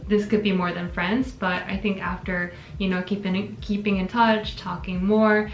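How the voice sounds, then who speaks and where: Woman, young adult, from Korea, gravelly, thoughtful, echoey room, soft music behind. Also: mid-range voice, level tone, moderate pace, muffled recording. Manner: thoughtful, reads viewer questions aloud. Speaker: channel host. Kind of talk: casual relationship Q&A.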